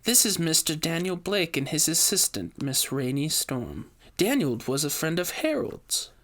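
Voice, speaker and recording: An elegant society hostess, as a male narrator puts her on, speaks in a lifted, genteel voice. She is formal and measured, a seductive woman of wealth in a dramatic audio story. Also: fairly high pitch, big pitch swings, fairly bright tone, voice pushed up, exaggerated voice. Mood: gracious, poised.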